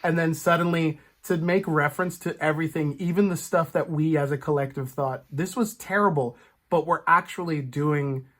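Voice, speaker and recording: Guy with a kinda high voice hosting a laid-back chat, animated, does little reaction bits. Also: moderate pace. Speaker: podcast host. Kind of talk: casual chat about film and TV.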